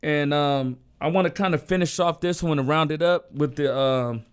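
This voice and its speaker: A man co-hosting a casual talk show, a bit hoarse from a sore throat, talking in a loose, laid-back way with lots of fillers. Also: on the high side, moderate pace. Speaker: co-host. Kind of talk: casual podcast chat.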